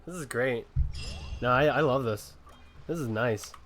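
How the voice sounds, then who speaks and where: A man's voice: medium pitch, measured pace, fairly bright tone. A man giving casual gameplay commentary in a playful, rambling way. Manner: playful, rambling, breaks into sing-song. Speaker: game streamer. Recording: faint background noise and game ambience.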